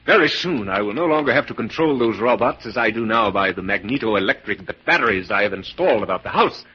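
An actor playing a menacing, gloating mad scientist professor, theatrical and mock-polite, in 1940s radio drama style. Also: male voice, on the low side, animated, fast talker, slightly rough. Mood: smug, sinister. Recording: scratchy, dull 1940s radio recording.

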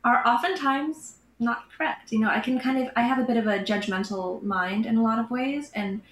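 A woman's voice: fairly high pitch, moderate pace. A woman guest in a casual chat, talking enthusiastically and rambling, with lots of fillers. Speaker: podcast guest. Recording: echoey room with faint background noise.

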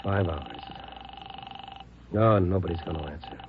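A man's voice: sits low, animated, unhurried, background noise. An American man playing an urgent, world-weary newspaper reporter in a hard-boiled 1950s radio drama. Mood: brooding. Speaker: narrator and lead actor. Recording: dull old radio recording, music behind.

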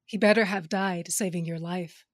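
An actress as a tough, arrogant fighter in a sci-fi audio drama. She talks in curt, sardonic bursts, fairly low, recorded dry. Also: steady tone, normal speed, no room sound, natural voice.

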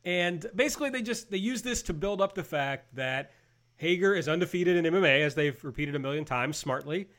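Higher-voiced man hosting a talk show. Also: animated, fairly quick, a bit bright. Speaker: host of a wrestling review show. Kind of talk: casual panel discussion and recap.

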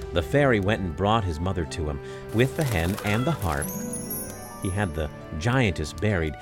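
A man narrates a storybook tale in a low voice with animated intonation. His delivery is measured and expressive, like radio storytelling, and music plays clearly behind him. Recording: music under the voice.

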